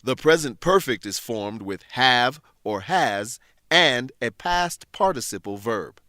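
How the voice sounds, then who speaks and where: A man presenting an English lesson in scripted narration, explaining things in a measured way with careful enunciation. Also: medium pitch, expressive, sharp tone, dry recording, clean studio sound.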